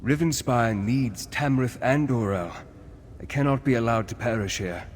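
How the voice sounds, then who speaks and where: An actor playing a noble, earnest war leader in a fantasy video game, formal and dramatic in delivery. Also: male voice, medium pitch, moderate pace, in a large hall, a little hiss or hum, natural voice. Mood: solemn, reassuring.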